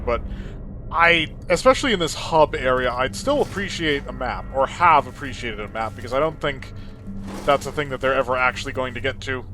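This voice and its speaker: A man's voice: medium pitch, fairly bright tone, slight background noise. A man hosting a let's play, chatting casually as he plays, with playful theatrical asides. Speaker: host of a gaming channel.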